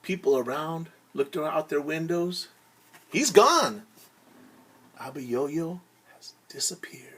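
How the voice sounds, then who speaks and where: A man's voice: a little high, animated, slightly rough. A man telling a story to children as a teacher, in a theatrical way, acting things out and explaining words simply. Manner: theatrical, acts out shouts, whispers and sound words; pauses to explain words simply and invite joining in. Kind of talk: animated children's storytelling with singing and word explanations.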